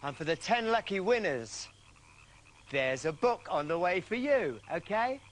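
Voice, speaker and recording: A man with a fairly high voice hosts a TV chat show, camp and teasing, gushing over his guest. He often trips over his words and restarts his questions. Faint ambience hums behind him. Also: unhurried, noisy background. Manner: flamboyant, teasing and excitable, with frequent restarts.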